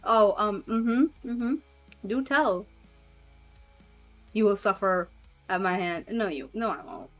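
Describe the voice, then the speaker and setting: A woman's voice: medium pitch, slow, long pauses, faint music behind. A woman streamer chatting casually with her viewers and reading chat aloud, giggling often and trailing off with fillers. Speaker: streamer and hobby voice actor.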